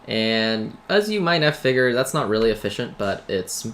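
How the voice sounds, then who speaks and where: A man's voice: medium pitch, big pitch swings, moderate pace, a bit bright. A man hosting a gaming video with casual, rambling commentary and tongue-in-cheek, self-deprecating asides. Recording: noisy home mic, keyboard clatter. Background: typing and background noise.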